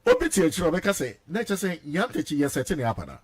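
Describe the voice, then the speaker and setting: Lively-pitched Ghanaian male interviewer. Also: quick pace, no echo. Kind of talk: conversational interviewing in Twi and English, with brief backchannels.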